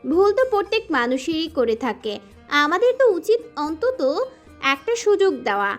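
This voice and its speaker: Woman doing sweet young girl in a story drama, high n very lively. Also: normal speed, faint music behind. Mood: forgiving.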